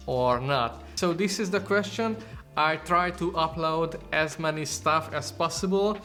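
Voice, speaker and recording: A man's voice: a little high, a bit bright. A young man from Hungary with a Hungarian accent, speaking English as a second language in an earnest, informal vlog about his photography channel. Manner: earnest, informal, non-native phrasing. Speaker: photography YouTuber. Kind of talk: casual talking-to-camera vlog.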